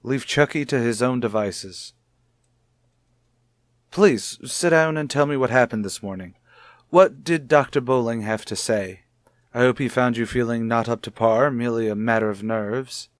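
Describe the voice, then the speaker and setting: An actor playing a cold, short-tempered, domineering husband in a 1950s radio soap, formal and condescending. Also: male voice, mid-range voice, normal speed, no echo, studio quality, lightly acted. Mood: smooth, insistent. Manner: formal, condescending, clipped disapproval.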